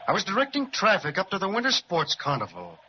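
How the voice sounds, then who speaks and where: A man's voice: high-pitched, wildly swooping pitch, quick pace, a little hiss or hum. A rambling, forgetful snowman. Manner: pompous, repeats himself, drifts off. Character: jolly snowman directing traffic, rambling and forgetful. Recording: muffled 1930s radio recording.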